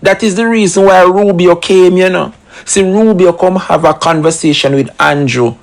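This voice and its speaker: A man's voice: high voice, normal speed, creaky. A man giving opinionated solo commentary, full of rhetorical questions and emphatic asides. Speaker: commentary channel host.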